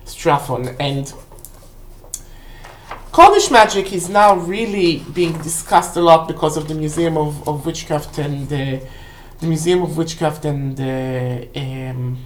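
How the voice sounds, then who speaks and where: A woman, a native Hebrew speaker, casually rambling through a book review for a vlog in a halting, self-correcting way. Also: low voice, slight background noise. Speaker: book haul vlog host. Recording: roomy, echoey indoor sound.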